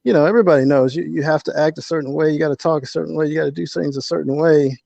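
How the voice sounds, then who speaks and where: Middle-aged male guest, gravelly and fairly high, reflective, halting delivery, muffled lo-fi sound. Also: warm tone, no room sound. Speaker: interview guest, author. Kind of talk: casual, reflective conversation.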